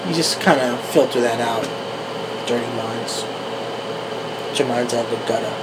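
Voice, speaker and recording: A man's voice: mid-range voice, normal speed. Young male vlogger, central New Jersey accent, rambling and full of restarts, noisy camera audio with a hum behind. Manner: rambling, with many restarts and fillers, laughing at himself. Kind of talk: casual solo vlog monologue. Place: room at home, talking to a camera on a tripod. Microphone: camera microphone. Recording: noisy home camera recording.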